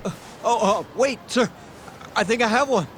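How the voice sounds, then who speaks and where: A nervous male shopkeeper in a game cutscene, with a highish, swooping voice. He stammers and defers politely, over faint background noise. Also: lively intonation, moderate pace, fairly bright tone. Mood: grateful, eager. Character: timid, flustered, grateful male sweets-shop vendor. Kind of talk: video game character acting.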